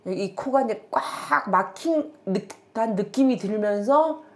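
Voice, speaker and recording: A woman in her sixties, a patient, describing her symptoms in casual interview talk, earnest and halting as she searches for words. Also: mid-range voice, animated, a little mellow. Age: 60s.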